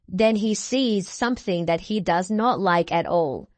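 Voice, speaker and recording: A woman reading a children's storybook aloud, deliberately, with drawn-out emphasis on key words. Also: fairly low pitch, no echo. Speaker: storybook reader. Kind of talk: expressive children's read-aloud narration.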